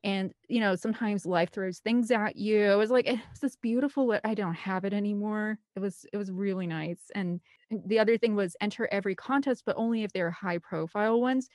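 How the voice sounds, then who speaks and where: A woman's voice: mid-range voice, fairly quick, darkish tone, no room sound. Woman, thirties, Midwestern American. Chatty podcast guest, colloquial and rambling, mid-range voice, very dry sound. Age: 30s. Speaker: podcast guest, film composer and director. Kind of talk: casual chatty interview storytelling.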